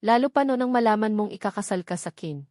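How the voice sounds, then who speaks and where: A woman with a Filipino accent voicing a serious, soft-spoken, possessive billionaire man in an audiobook romance, earnest and switching between two languages. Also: mid-range voice, lively intonation, fast talker, no echo, studio quality, lightly acted. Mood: serious, regretful.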